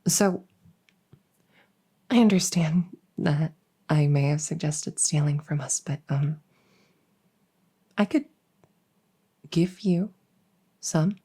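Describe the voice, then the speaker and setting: A young princess, acted by a woman in an intimate audio drama, weary with duty and longing for freedom. Her voice is low for a woman, soft and breathy. She talks slowly and hesitantly, in a conversational way. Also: heavily acted. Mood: hesitant, wry. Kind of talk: intimate audio roleplay acting.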